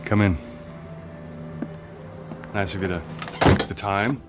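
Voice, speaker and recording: An actor playing a gentle, weary widowed father in a radio drama, measured and restrained. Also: fairly low pitch, steady tone. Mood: patient, reluctant. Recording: studio radio play with music underneath.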